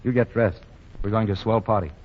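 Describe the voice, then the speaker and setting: A man's voice: on the low side, fairly even intonation, fast, close to an everyday voice. An actor playing a flustered, impatient wealthy young man who bluffs and then turns boyishly shy, in a 1940s radio play. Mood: breezy. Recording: muffled 1940 radio broadcast.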